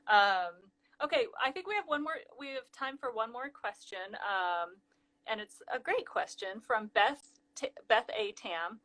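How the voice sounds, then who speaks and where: A woman's voice: a little high, expressive, a bit bright. Upbeat woman host reading viewer questions. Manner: upbeat, reads viewer questions, quick affirmations. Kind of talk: casual live-stream interview.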